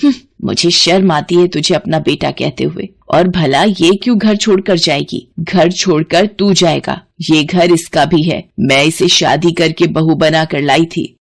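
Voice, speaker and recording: A woman's voice: sits low, a little slow, creaky, pitched below the performer's own voice, full character voice. A woman voicing an elderly Indian mother-in-law in a family storybook tale, firm and plain-spoken, stern but protective. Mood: indignant.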